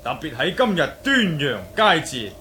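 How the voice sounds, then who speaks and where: A man with a Cantonese accent playing a solemn elderly Shaolin monk elder, formal, pious and measured, in a martial arts radio drama. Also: fairly high pitch, very animated, sharp tone, slight background noise, heavily acted. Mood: courteous, solemn.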